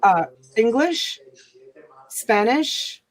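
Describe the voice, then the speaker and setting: A woman's voice: fairly high pitch, expressive, extremely slow, rough recording. A woman, hosting a casual live chat with many fillers and restarts. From Italy. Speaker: livestream host.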